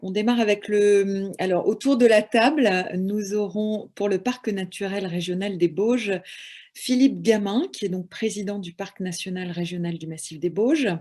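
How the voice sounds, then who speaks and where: A woman's voice: medium pitch, normal speed, dark tone. A woman moderating an online round table, introducing panelists with frequent hesitations and fillers over a video call.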